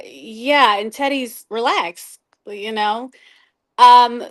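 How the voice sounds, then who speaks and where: A woman co-hosting a video chat, speaking in a hesitant, halting, apologetic way. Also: a little high. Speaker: co-host. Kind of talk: casual chat on a live video call.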